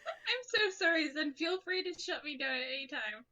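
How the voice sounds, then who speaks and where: A woman's voice: sits high, lively intonation, moderate pace, bright, forceful tone. A woman chatting casually as a player in a tabletop roleplaying game.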